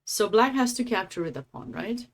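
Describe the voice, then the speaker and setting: A woman's voice: on the high side, lively intonation, moderate pace. A woman from Romania with a Romanian accent, giving casual, chatty live game commentary as a streamer, with affectionate asides.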